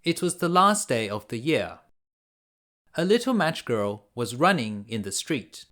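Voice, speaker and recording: A man reading a children's fairy tale aloud as a bedtime storyteller, in a simple, deliberate way. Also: medium pitch, very animated, fairly bright tone.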